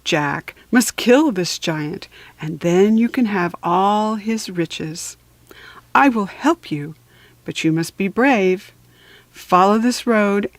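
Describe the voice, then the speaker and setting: A woman voicing a beautiful young storybook fairy who gives stern, solemn commands in a measured way. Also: mid-range voice, no room sound.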